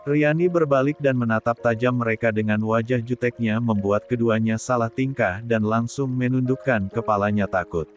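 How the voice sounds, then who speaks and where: A man's voice: mid-range voice, moderate pace, hardly any pauses. A man reads a story aloud as a scripted, dramatic narration. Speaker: audiobook narrator. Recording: music bed behind the voice.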